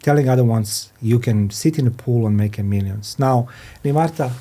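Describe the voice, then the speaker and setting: Man, non-native English speaker, soft-edged mellow voice, podcast interviewer, halting phrasing with repeats. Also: medium pitch. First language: not English. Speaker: podcast host. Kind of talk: podcast interview, introducing and questioning a guest. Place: studio.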